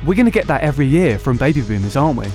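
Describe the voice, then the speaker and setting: A man's voice: mid-range voice, very animated, very fast, a little mellow, faint music behind. A man hosting a casual political podcast, sarcastic and mocking. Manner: sarcastic, mocking voices of others. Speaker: podcast host.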